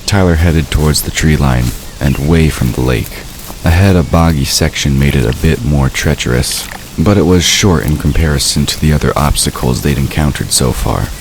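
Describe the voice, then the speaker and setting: A man with an extremely deep, low voice narrates a fantasy story. He reads scripted prose as the narrator of an audio drama, with faint ambient noise behind him. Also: moderate pace.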